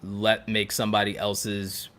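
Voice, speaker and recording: A man co-hosting a podcast, giving his opinions casually in an earnest, explanatory way, pausing to pick his words. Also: on the low side, monotone, a bit bright. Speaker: podcast co-host.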